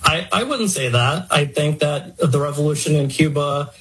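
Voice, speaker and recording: A man, an organization's co-chair, giving measured, somewhat hesitant answers in a cable news interview. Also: fairly high pitch, a bit bright, slightly rough, poor audio.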